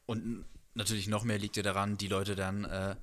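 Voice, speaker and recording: A man's voice: on the low side, level tone, fairly quick, a bit bright. A man, around his thirties, hosting a casual interview in a playful, teasing way, speaking into a handheld microphone. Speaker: interviewer, host. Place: living room music space, indoors.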